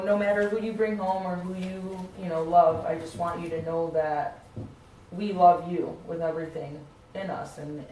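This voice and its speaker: A woman's voice: lowish voice, mellow, close to an everyday voice. A woman playing a supportive, earnest mother in a family therapy role-play, talking in a reassuring way. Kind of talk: role-played therapy session. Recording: very echoey room, distant mic, faint noise.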